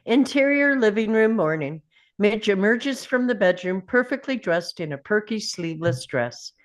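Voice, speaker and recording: A woman's voice: mid-range voice, expressive, moderate pace. A woman reading screenplay stage directions as scripted narration at a table read.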